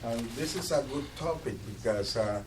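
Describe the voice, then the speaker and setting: A Panamanian man whose first language is Spanish speaks at a community meeting with a Spanish accent, halting and searching for words with many fillers. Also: medium pitch, lively intonation, distant mic, noisy background. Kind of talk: public comments at a meeting. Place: art gallery meeting room.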